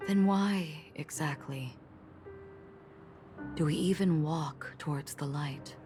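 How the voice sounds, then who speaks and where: An actress voicing a calm, melancholy wandering warrior woman in a video game, measured and soft-spoken. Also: sits low. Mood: grave, reflective. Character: solemn, melancholy wandering swordswoman, calm and enigmatic. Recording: background music.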